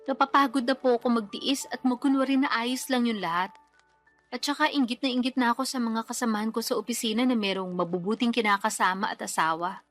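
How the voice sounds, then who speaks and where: A woman's voice: on the high side, fairly quick, a bit bright, faint music behind, close to an everyday voice. An actress playing a worn-out, resentful young single mother in a radio drama, with a plaintive, complaining manner. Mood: bitter, aggrieved. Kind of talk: Tagalog radio drama acting.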